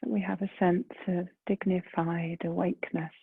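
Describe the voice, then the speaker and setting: A woman leading a guided meditation in a gentle, soft, breathy voice, unhurried, with long pauses between phrases. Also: lowish voice, level tone, no room sound. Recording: muffled phone-like lo-fi sound.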